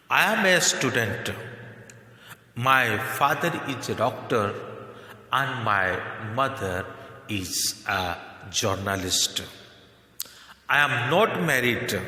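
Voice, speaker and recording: A man with an Indian accent teaching spoken English slowly and deliberately, with frequent fillers. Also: mid-range voice, sharp tone. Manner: slow, deliberate explaining with many fillers. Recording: echoey room with long reverb.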